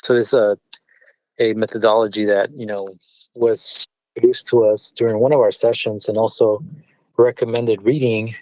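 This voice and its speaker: A man whose first language is Spanish, a business owner answering interview questions in a measured way with frequent fillers and restarts. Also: lowish voice, level tone, darkish tone, no room sound, low-quality recording. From born in California, partly raised in Mexico City. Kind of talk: conversational interview.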